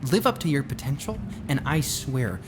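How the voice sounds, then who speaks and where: A man's voice: mid-range voice, very animated, normal speed, background noise, lightly acted. A man playing a confident, glib billionaire space colony founder in a naturalistic audio drama, talking in a casual, assured, persuasive way. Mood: earnest pitch. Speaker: voice actor in a musical audio drama.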